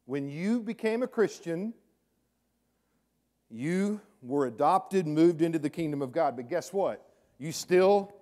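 Man, pastor, middle-aged, fairly high voice, conversational sermon, bursts of volume, repeats words, church stage. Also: mellow. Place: large church sanctuary with stage, indoors.

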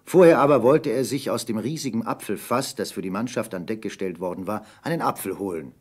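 Low, husky male storyteller. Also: a little fast. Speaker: narrator. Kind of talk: dramatic scripted story narration.

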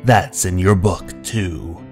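Man putting on a gruff, bossy old lighthouse keeper. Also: deep voice, slow, a little mellow, soft background music. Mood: stern. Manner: domineering, old-fashioned sailor talk.

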